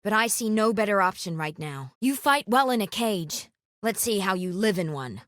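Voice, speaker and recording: Woman acting a fierce, bright-voiced female interrogator. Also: mid-range voice, animated, normal speed, no room sound, clean studio sound, natural voice. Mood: cold menace. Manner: clipped, commanding, threatening. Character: stern vengeful female interrogator, commanding and coldly threatening. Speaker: game character voice. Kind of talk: video game voice lines.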